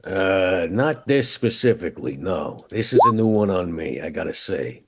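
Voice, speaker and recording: Casting: male player as streetwise vampire in a tabletop game, gravelly and deep, gruff, casual, swears freely. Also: sing-song, normal speed, heavily acted. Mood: uneasy. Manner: casual, profane, drawling slang.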